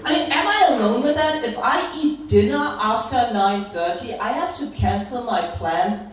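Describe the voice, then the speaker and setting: A woman's voice: fairly high pitch, animated, moderate pace. A woman in her thirties with a German accent, performing dry, self-deprecating stand-up comedy at a live venue. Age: 30s. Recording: echoey venue, distant mic, crowd.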